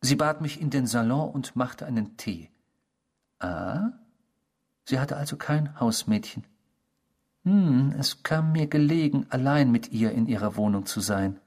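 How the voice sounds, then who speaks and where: Husky, eerie obsessive storyteller. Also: male voice, mid-range voice, lively intonation, measured pace, a little mellow. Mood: smug, intimate. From the Netherlands (character). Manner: theatrical, savouring, unrepentant, mimicking the people quoted. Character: eerie, obsessive male stranger confessing a past crime. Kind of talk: radio drama dialogue, first-person storytelling.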